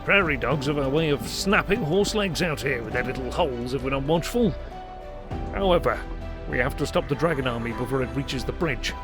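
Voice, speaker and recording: A man voicing a gruff, decisive cavalry captain in a fantasy audiobook, urgent and commanding. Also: mid-range voice, brisk, music in the background, voice pushed up, natural voice. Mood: brisk, urgent. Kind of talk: dramatised fantasy audiobook character.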